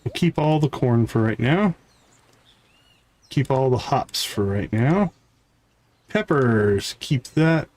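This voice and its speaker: Unhurried man streaming a game. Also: medium pitch, expressive, a little mellow. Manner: talks himself through tasks step by step. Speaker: live game streamer, host. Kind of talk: casual live gameplay commentary, thinking aloud.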